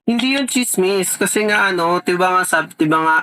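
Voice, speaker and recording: Bright, high-voiced Filipino man. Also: rough recording. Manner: playful, deliberately rambling with vague fillers. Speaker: vlogger making a prank call. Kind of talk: casual chat, prank phone call.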